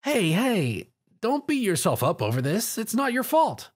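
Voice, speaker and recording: A man's voice: sits high, wildly swooping pitch, unhurried, husky, pitched above the performer's own voice, full character voice. A man voicing a cheeky, friendly little boy dragon in a story. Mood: comforting.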